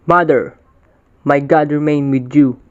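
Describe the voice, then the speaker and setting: A man's voice: a little high, fairly even intonation, unhurried, darkish tone, clear, resonant tone, lightly acted. A man playing a bold, proud young epic hero and devoted son in readers' theater, speaking formally. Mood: earnest, resolute. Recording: dull lo-fi recording.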